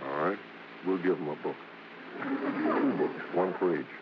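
An American man playing a stubborn, wisecracking vaudeville father in a gruff, slangy old radio drama style. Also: low voice, unhurried. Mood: grudging sarcasm. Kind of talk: 1940s-50s radio acting. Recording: muffled, noisy old radio broadcast.

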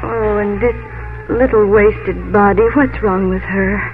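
A woman's voice: medium pitch, steady tone, a little slow, faint music behind, lightly acted. An actress playing an earnest, determined American woman writer in a 1950s radio drama. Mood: pleading. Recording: tinny, band-limited old radio recording.

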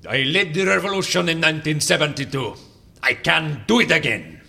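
An actor playing a vain, boastful older Latin American president in an audio drama, grandiose and blustering. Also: male voice, a little high, animated, brisk, gravelly, pushed and bright, full character voice. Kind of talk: audio fiction acting.

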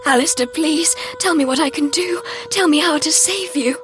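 Woman as lovelorn female night creature, anguished, pleading, high-pitched delivery, dramatic gothic audio story, faint music behind. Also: moderate pace, close to an everyday voice. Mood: desperate pleading. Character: anguished female shapeshifting monster in love, gothic heroine.

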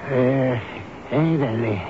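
A man's voice: mid-range voice, slow, noisy background, close to an everyday voice. An actor playing an addled, childlike old man, hesitant and folksy, in a radio drama. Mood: evasive, flustered. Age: older. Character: addled, childlike old man, devoted to his beloved elevator. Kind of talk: 1970s radio drama acting. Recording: dull radio broadcast with music.

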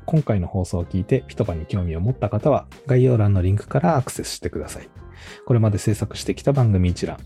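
A man's voice: on the low side, mellow. A man hosting a podcast interview, chatting in a casual but polite way. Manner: frequent agreeing backchannels, casual polite.

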